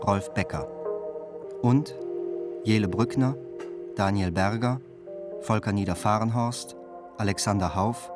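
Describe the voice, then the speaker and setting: A man reading scripted closing credits as a radio drama announcer. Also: lowish voice. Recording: loud music underneath. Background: loud music.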